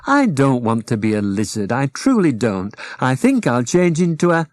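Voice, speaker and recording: A man's voice: mid-range voice, sing-song, normal speed, no echo, studio quality, close to an everyday voice. A man voicing a sensible, slightly fussy girl rag doll in a children's storybook. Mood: fussy, reluctant.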